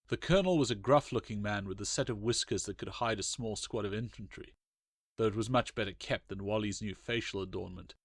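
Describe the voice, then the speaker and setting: Man, narrator, storybook reading, low voice, dry close sound. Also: no room sound. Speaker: author and narrator.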